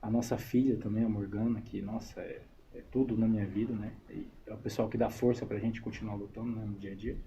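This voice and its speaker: Male interview guest, Brazilian accent, mellow tone, casual and chatty, tag questions, muffled sound with faint background noise. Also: mid-range voice. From São Paulo state, Brazil. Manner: chatty, frequent checking tags. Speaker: interview guest, army reservist and combatant. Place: radio studio. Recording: dull, noisy studio live stream.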